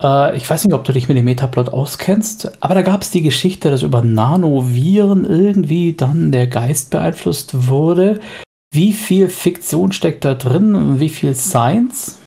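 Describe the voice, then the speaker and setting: A man hosting a German-language podcast, asking his guest questions in a relaxed, chatty way. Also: fairly high pitch, measured pace, vocal fry. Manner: chatty, friendly questions with fillers. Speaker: podcast host. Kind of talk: casual interview.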